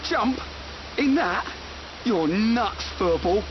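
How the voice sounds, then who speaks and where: An actor with an English accent playing a blunt, slightly dim, cheeky young fighter in a video game, talking informally. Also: male voice, sits high, animated, takes it slowly, noisy background. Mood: incredulous. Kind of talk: video game cutscene acting. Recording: dull compressed game audio under music. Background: prominent orchestral music.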